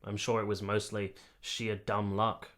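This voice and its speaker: An actor playing an earnest, articulate army captain who has been to war, in a radio play. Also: male voice, fairly low pitch, monotone, a little slow, fairly bright tone. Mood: sombre, reflective. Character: earnest, candid young army captain, reflective war veteran. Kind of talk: radio play narration and dialogue.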